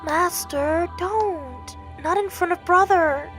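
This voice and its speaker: Woman acting as young male godling demon, high voice, meek, doting, pleading, audio drama, light music behind. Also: takes it slowly, fairly bright tone, heavily acted. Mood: timid pleading.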